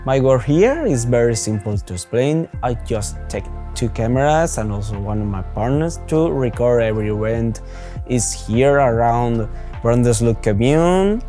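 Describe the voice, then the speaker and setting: A man's voice: mid-range voice, animated, unhurried, warm tone, soft background music. A young man from Spain, speaking English with a Spanish accent in a plain, matter-of-fact way. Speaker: volunteer video editor. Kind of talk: interview testimonial.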